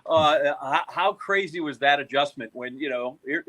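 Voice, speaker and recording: A man with a somewhat high, bright voice. He works as an interviewer and phrases his questions in a casual, chatty way. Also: normal speed. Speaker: interviewer, co-host.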